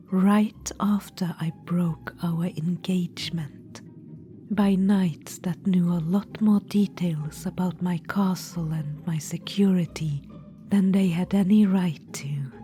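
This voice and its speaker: Undead witch-queen, whispered by a woman: low, mocking and unhurried, over soft music. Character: undead witch-queen, cold, scheming and vengeful. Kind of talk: ASMR audio roleplay.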